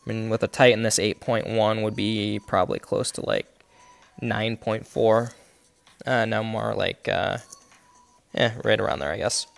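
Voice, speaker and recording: A man's voice: on the low side, steady tone, measured pace, gravelly. Congested man talking through his game. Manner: explains his shots step by step, with many fillers. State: congested, coughing. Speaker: game streamer. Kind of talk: live gameplay commentary.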